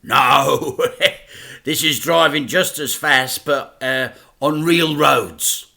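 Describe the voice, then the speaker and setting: A man voicing an excitable, working-class middle-aged dad who laughs and talks loudly. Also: a little high, very slow, vocal fry, pitched above the performer's own voice, exaggerated voice. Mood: boisterous. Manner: laughing, loud and boisterous.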